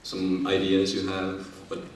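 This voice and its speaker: Low, flat-voiced man, very echoey. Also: unhurried. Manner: hesitant, tentative. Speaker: talk show host. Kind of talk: casual unscripted interview. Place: echoey room with an audience. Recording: echoey room, distant mic, faint noise.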